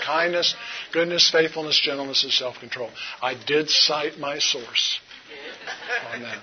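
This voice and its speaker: Earnest man preaching to a conference. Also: on the high side. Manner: earnest, exhorting, deliberate. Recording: muffled lo-fi talk recording with hiss.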